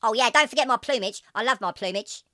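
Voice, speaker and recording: A performer with a woman's pitch voicing a goofy, doubtful little squirrel sidekick in a children's cartoon, cheeky and childlike. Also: fast talker, sharp tone, no room sound, clean studio sound, natural voice. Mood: curious, pleased. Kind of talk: children's cartoon character banter.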